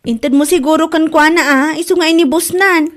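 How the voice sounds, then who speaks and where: A woman's voice: sits high, a little fast, natural voice. A woman with an Ilocano Filipino accent acting a village woman in a comedy drama. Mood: gentle, concerned.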